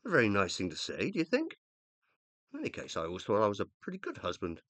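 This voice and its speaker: An actor playing a self-important dead scientist living on as an uploaded consciousness in a radio play, with a pompous, easily exasperated manner. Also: male voice, fairly low pitch, wildly swooping pitch, fast, fairly bright tone, no room sound, full character voice. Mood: affronted. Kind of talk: radio play acting, monologue and dialogue.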